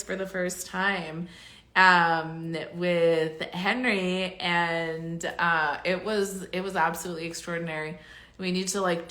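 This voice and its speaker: A woman talks straight through a casual live stream, rambling and gushing with a steady stream of fillers. Her voice is bright and a little raspy, and she sounds congested. The sound comes through with a noticeable room echo. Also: on the low side. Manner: rambling and effusive, many fillers. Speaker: spiritual coach hosting a live stream. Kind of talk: casual livestream monologue.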